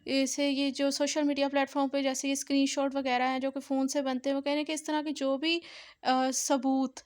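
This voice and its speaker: High-pitched Pakistani woman giving a lecture. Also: flat delivery, a little fast. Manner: mixes Urdu with English legal terms, repeats explanations. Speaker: law lecturer. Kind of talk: explanatory lecture, reading statutes then explaining.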